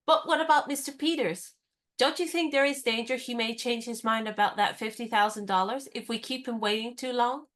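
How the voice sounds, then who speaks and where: An actress playing a spirited, independent young woman in a radio play, speaking in a crisp, teasing and firmly insistent way. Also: on the high side, a little fast, a bit bright, natural voice. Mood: wry.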